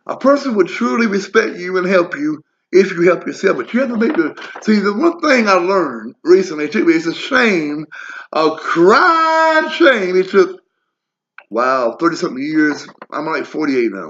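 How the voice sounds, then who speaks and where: A man in his forties talks on in a wandering personal monologue, blunt and full of swearing. His voice sits a little high for a man. The recording is dull and lo-fi. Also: big pitch swings, normal speed, fairly bright tone. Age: 40s. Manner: blunt, profane, wandering asides. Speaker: vlogger. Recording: lo-fi muffled audio.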